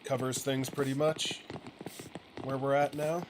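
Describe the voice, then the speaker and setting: A man's voice: medium pitch, level tone, measured pace. Man rambling over noisy game ambience. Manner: hesitant, trailing off, thinking aloud. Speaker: gaming channel host. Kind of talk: casual, rambling story recap over gameplay.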